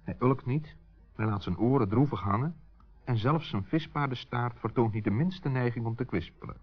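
A man's voice: mid-range voice, animated, normal speed, husky. A man narrating a scripted children's story as a storyteller. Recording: dull, muffled old recording.

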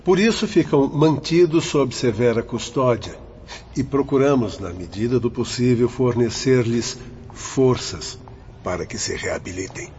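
An earnest guardian of spirits played by a man explains in formal radio drama style. The voice is medium in pitch, and the recording is muffled with slight noise. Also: unhurried, darkish tone, close to an everyday voice. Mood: earnest, stern. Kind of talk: radio soap opera acting.

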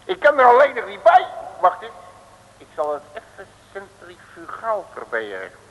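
A gravelly bumbling detective. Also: male voice, high voice, huge pitch swings, normal speed, fairly bright tone, exaggerated voice. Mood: brash. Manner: brash, joking. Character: cocky bumbling male detective. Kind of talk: 1950s radio comedy acting. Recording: old radio recording, long reverb.

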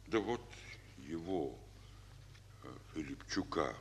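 An actor playing a weary, fatherly general in a Russian war drama, speaking in a pensive, halting way. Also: very deep voice, animated, slightly rough, full character voice. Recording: old reverberant radio recording with music.

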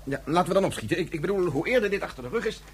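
An actor playing a flustered, impatient professor in a radio drama, husky and stammering. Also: male voice, high voice, expressive, very fast, slight background noise. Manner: hesitant, stammering, repeats himself. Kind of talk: Dutch radio drama acting.

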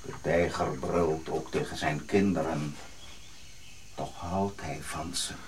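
An actor playing an unflappable, dryly cheeky manservant in a radio drama, with a stubborn deadpan manner. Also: male voice, a bass voice, slow pace, slight background noise.

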